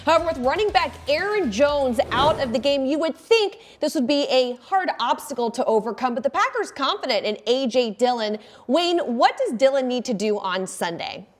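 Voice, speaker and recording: A woman's voice: high-pitched, animated, normal speed, hardly any pauses. A woman hosting a sports talk show in an upbeat presenting style.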